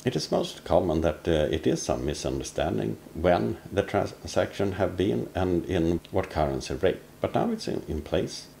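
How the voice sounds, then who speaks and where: Male vlog narrator, finance consultant type, dark creaky voice, phrasing. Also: deep voice, sing-song, moderate pace, few pauses, slight background noise. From Sweden. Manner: non-native English phrasing.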